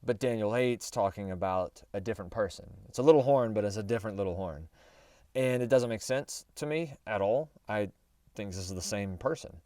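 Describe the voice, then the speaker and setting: Low, smooth-voiced man teaching Bible prophecy. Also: fairly quick, dark tone, dry recording, clean studio sound, far from the mic. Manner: chatty and rambling, with self-corrections and asides. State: slightly hoarse. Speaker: host of a Bible prophecy show. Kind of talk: casual Bible study teaching.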